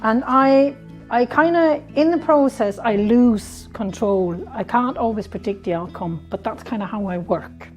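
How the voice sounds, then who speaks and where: Danish-born middle-aged woman chatting in her workshop, stops and restarts a lot, faint music behind. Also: a little high. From Denmark. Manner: halting, many restarts and fillers. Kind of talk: casual interview.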